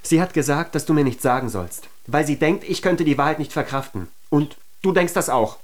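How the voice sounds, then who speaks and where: A man's voice: on the high side, lively intonation, brisk. An actor playing a jealous, suspicious young man in a naturalistic radio drama, accusing and pressing. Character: jealous, suspicious man confronting his best friend.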